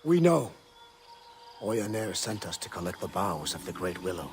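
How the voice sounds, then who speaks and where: An actor playing a dutiful village tribesman in a video game, calm, firm and measured. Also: sits low, slight background noise. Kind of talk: dramatic video game acting.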